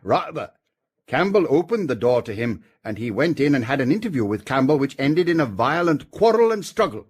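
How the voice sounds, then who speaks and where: A senior police chief in a detective radio drama. His voice is husky and very varied in pitch, and he sets out his reasoning formally and deliberately, with calm confidence. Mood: explaining, confident.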